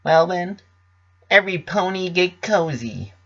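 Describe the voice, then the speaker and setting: A man's voice: high voice, expressive, measured pace, fairly bright tone, muffled recording, pitched above the performer's own voice, exaggerated voice. A man voicing a cheerful, doting young female cartoon pony with a bubbly, affectionate manner.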